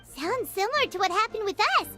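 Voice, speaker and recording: Tiny pixie girl, piercingly high, childlike, eager, plucky, very animated, game acting, loud music behind. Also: brisk. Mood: chatty, puzzled. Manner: squeaky, eager, exclamatory. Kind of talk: video game character acting.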